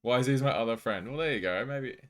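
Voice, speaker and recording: A man's voice: medium pitch, quick pace. An Australian man live streaming, chatting casually and jokily with viewers as he reads out their messages.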